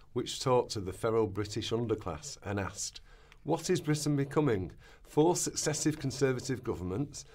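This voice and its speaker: A man's voice: lowish voice, a little mellow. A man reading scripted, essay-like narration for a radio play.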